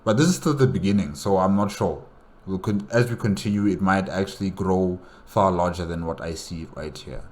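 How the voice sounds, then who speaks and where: A man's voice: sits low, normal speed. A man hosting a reaction video, talking casually with lots of fillers and repeated words. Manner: rambling, many fillers and repeats.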